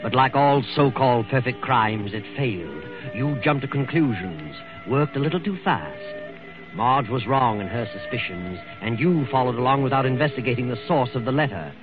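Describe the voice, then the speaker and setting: A man's voice: mid-range voice, lively intonation, moderate pace, natural voice. Music plays behind a knowing, ironic narrator voiced by a man from an old radio mystery. The moralizing delivery comes through thin, narrow vintage sound.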